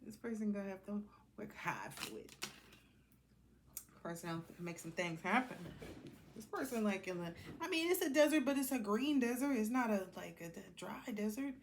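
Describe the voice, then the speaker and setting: A woman with a medium-pitched voice hosts an off-the-cuff tarot reading. She speaks colloquially, thinking aloud and addressing the cards as much as her listeners. The recording carries noticeable background noise and small clicks. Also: animated, moderate pace. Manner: colloquial, talks to herself and the cards. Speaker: tarot reader hosting a collective reading. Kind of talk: casual improvised tarot reading.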